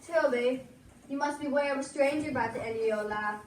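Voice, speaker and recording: A woman's voice: high-pitched, brisk, lightly acted. A young woman playing a superstitious, meddling fortune teller in an amateur Western stage play. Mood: ominous, chiding. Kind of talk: projected amateur stage acting. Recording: echoey room from far off, noisy.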